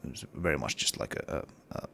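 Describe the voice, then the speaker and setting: A man's voice: moderate pace, a bit bright. A man with a cold and a partly lost voice, talking casually through his game level in a hesitant devlog with many fillers. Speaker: indie game developer.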